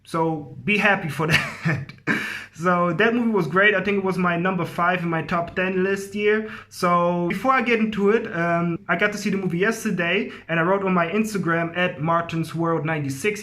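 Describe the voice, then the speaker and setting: A man's voice: high-pitched, moderate pace, pushed and bright. A man giving a casual, enthusiastic movie review, speaking informally and repeating phrases for emphasis.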